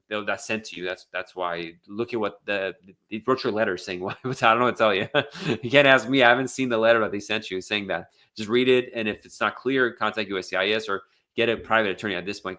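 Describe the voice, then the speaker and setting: A man's voice: mid-range voice, pushed and bright. An American man hosting a casual live Q&A as an immigration attorney, reading out viewer questions and answering them bluntly with many fillers and restarts. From United States.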